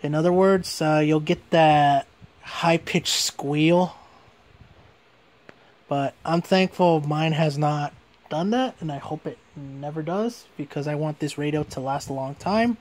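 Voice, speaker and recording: A man with a fairly high voice presents a hands-on gadget review. He talks informally, like an everyday hobbyist video, and tends to restate his points as he goes. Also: slow pace, pauses often, a little mellow. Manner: informal, repeats and rephrases himself. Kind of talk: casual hands-on product review.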